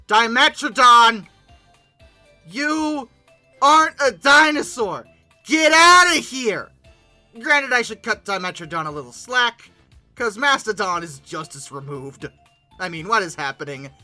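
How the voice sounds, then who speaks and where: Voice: medium pitch, sharp tone. A host giving comedic commentary on pictures in informal, slangy talk, with exasperated, dramatic emphasis. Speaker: host of a paleoart commentary show.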